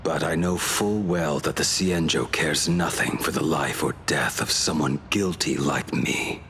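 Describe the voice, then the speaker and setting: A man playing a grim, haunted outlaw swordsman in a game cutscene, brooding and solemn, with a gravelly voice. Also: a little slow, a bit bright, slight background noise, full character voice. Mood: grave, resolute. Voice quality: very deep, gravelly. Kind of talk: dramatic game cutscene acting.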